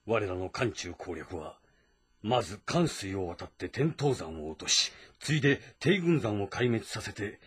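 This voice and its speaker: An actor playing a composed, respectful military strategist who gives measured, formal briefings in a historical game drama. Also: male voice, on the low side, animated, a bit bright, slightly rough, heavily acted. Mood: composed, assured.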